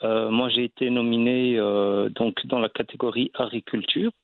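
A man from Belgium speaks in a gravelly, fry-laden voice, pausing often with fillers and restarts as he tells his story. The sound is dry and tinny, like a phone line, with no room around it. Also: mid-range voice, fairly even intonation, no room sound. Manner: hesitant, many fillers and restarts. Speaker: actor, guest. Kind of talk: casual interview.

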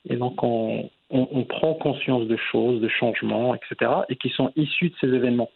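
A man in his forties giving measured, reflective answers as the guest in an interview. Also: mid-range voice, creaky, breathy edge, narrow, tinny audio. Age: 40s.

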